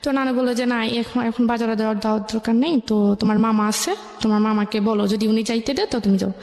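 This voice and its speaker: A woman's voice: on the high side, fairly even intonation, quick pace, a little mellow. A woman with a Bangladeshi Bengali accent telling her personal story in a radio interview, recounting conversations as dialogue. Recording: echoey room with long reverb.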